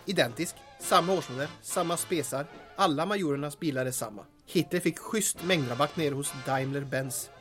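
A man playing a droll, wisecracking henchman in a radio drama, with a dry, deadpan delivery. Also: fairly high pitch, soft background music, close to an everyday voice. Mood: dryly scheming. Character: wisecracking, dryly absurd henchman sidekick.

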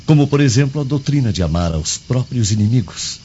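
An actor in a radio drama playing an earnest Roman guardian who reports in a measured, declamatory way. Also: male voice, medium pitch, animated, warm tone, lightly acted. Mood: earnest, intrigued. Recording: dull lo-fi audio with faint noise.

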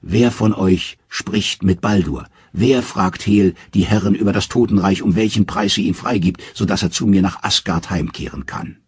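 A man's voice: on the low side, sing-song, normal speed, vocal fry, husky, dry recording, studio quality, pitched above the performer's own voice, heavily acted. A man voicing a proud, defiant mother goddess.

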